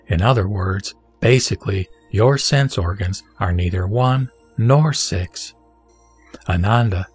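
A man reads scripture as a scripted narration, solemn and deliberate in his delivery. His voice sits at a middle pitch, with music playing behind him. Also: a little mellow. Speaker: sutra reciter. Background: background music.

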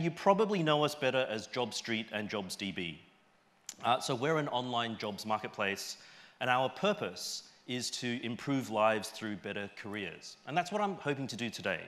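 Conference speaker: male, middle-aged, Australian accent, medium pitch, bright and forceful tone, conversational delivery. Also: lively intonation, moderate pace. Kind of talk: informal stage presentation. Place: large auditorium stage, indoors.

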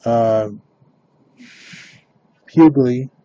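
A man hosting a live trading card break, announcing cards and teams in a steady, matter-of-fact way. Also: mid-range voice, very slow, dull audio.

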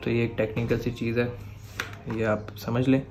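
A man's voice: medium pitch, level tone, normal speed, background noise. A young man with a Pakistani Urdu accent gives a casual how-to on his motorcycle camera and mic setup, checking in often with the viewer. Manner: explanatory, keeps asking "theek hai" to check in. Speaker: motovlogger. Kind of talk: casual gear tutorial.

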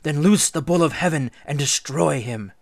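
Storybook goddess male reader: furious, petulant, demanding, raised pitch, dry sound. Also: normal speed, gravelly, no echo. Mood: imperious demand. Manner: petulant, shrill outbursts and hissed threats. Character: furious, sobbing, spoilt goddess, vengeful and demanding.

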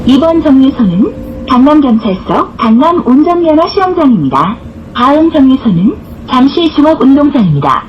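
A woman's voice: high voice, big pitch swings, normal speed, long pauses, lo-fi sound, slight background noise. A woman reading scripted bus stop announcements in a formal, carefully enunciated way, recorded inside a bus. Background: bus interior ambience.

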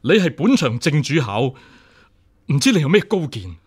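A stubbornly upright deputy examiner in a Cantonese radio drama. His Hong Kong-accented voice sits a little high and moves with lively intonation. He is formal, earnest and refuses to yield. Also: normal speed, breathy edge. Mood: suspicious, firm.

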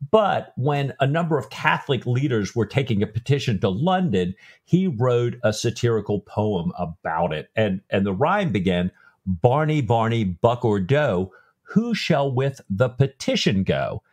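A man's voice: medium pitch, very animated, unhurried, a little mellow, husky. A man co-hosting a podcast, telling a historical anecdote with enthusiasm. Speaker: podcast co-host. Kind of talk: casual podcast discussion.

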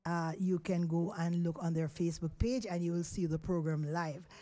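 A woman's voice: on the low side, steady tone, moderate pace, mellow. A middle-aged woman with a Jamaican accent hosting a live radio talk show from a studio, talking in a rambling, chatty way with many fillers. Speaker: radio host. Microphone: studio microphone.

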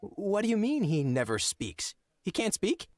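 A man's voice: high voice, big pitch swings, a little slow, dry recording. An actor playing an awkward, polite young noble lord in a video game, earnest and hesitant in his speech. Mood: puzzled. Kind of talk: video game character acting.

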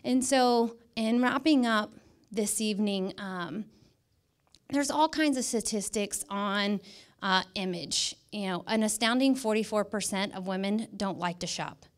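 A woman's voice: mid-range voice, unhurried. A middle-aged woman with an American accent speaks as a guest presenter, emphatically repeating words for stress in a motivational talk. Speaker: guest speaker, image consultant. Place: indoor stage in a dark event room. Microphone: handheld microphone.

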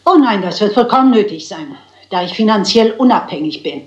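An actress in a German crime drama playing a prim, plucky older spinster sleuth, speaking in a theatrical, articulate, dignified manner. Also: female voice, medium pitch, lively intonation, a little fast, reverberant, muffled recording, close to an everyday voice. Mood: crisp, dignified.

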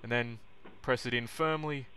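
A man's voice: mid-range voice, fairly even intonation, normal speed, sharp tone, clear, resonant tone. A young man giving an informal, self-deprecating how-to narration. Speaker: vlogger demonstrating how to make coffee. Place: small home kitchen, indoors. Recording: noisy kitchen recording with clicks and clatter.

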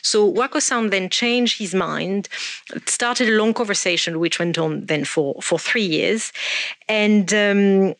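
A woman gives a talk from a lectern, speaking in a measured way with frequent ums and restarts. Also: mid-range voice, no echo, studio quality. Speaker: art researcher giving a talk. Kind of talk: presentation lecture. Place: indoor stage at a lectern. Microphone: stand mic at lectern.

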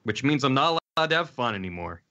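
A man's voice: mid-range voice, very animated, quick pace, pushed and bright. A thirty-year-old man, a guest on a casual livestream chat, talking in a dry, self-deprecating way.

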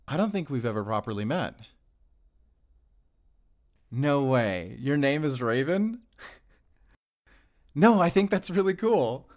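A man's voice: mid-range voice, natural voice. Man acting a flustered, chatty, awkward neighbour guy. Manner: flustered, apologetic, chatty with nervous chuckles. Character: awkward, rambling, friendly bird-loving single dad neighbour. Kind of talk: audio roleplay addressed to the listener.

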